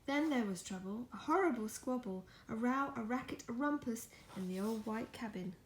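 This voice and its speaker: A woman reading a picture book aloud in a soft ASMR style, gentle and coaxing, slipping into breathy whispers. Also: fairly high pitch, lively intonation, moderate pace, a little hiss or hum, rough recording.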